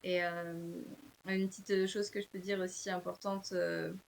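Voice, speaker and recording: A woman who is an author and publisher, answering interview questions casually with many fillers and pauses. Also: fairly low pitch, level tone, vocal fry.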